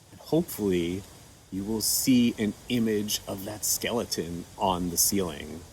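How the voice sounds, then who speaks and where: A man gently walking children through an activity with patient, step-by-step instructions and a few fillers. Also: fairly low pitch, slight background noise, low-quality recording. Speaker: children's storytime presenter. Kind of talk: guiding kids through an activity.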